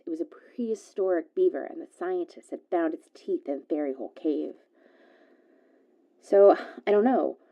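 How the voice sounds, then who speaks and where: A woman's voice: low-pitched, highs cut off. A woman playing a chatty, guileless young girl who calls a radio show and rambles through a casual anecdote full of fillers. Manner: rambling, filler-heavy youthful talk. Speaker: caller.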